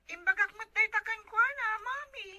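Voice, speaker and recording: A woman's voice: extremely high pitch, normal speed, noisy background. A woman with an Ilocano accent voicing a whiny schoolchild daughter in a comedy skit. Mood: offhand.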